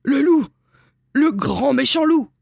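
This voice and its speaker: A woman's voice: medium pitch, animated, slow, gravelly, dry recording, studio quality, heavily acted. A woman voicing a big, taunting male goat bully in a children's story. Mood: panicked. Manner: taunting, threatening.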